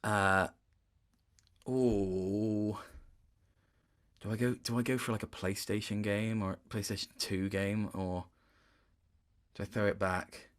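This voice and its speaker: A man's voice: on the low side, steady tone, takes it slowly, pauses often, clear, resonant tone. Thoughtful man chatting as interview guest. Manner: thoughtful, searching for words, self-interrupting. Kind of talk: casual, reflective interview chat.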